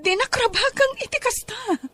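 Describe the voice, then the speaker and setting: A woman with an Ilocano Filipino accent playing an excitable, emotional young bride-to-be in a podcast comedy drama. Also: very high-pitched, moderate pace. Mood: shocked, distressed.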